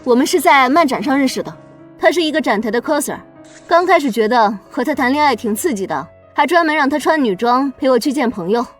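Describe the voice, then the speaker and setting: A woman playing a bitter, callous mother in an animated drama, with a scornful, snapping manner. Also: high-pitched, fairly quick, soft background music.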